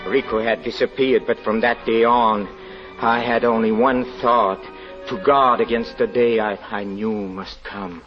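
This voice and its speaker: An actor playing a haunted, grieving European husband confessing a tragedy, halting, stammering and pleading in theatrical 1940s radio drama style. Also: male voice, fairly low pitch, fairly even intonation, music in the background, lightly acted. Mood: haunted, remembering. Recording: muffled, noisy old radio recording.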